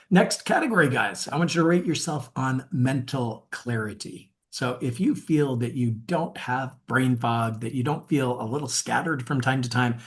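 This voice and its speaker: A man coaching in a webinar, upbeat, asking viewers to rate themselves. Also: mid-range voice. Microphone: webinar mic.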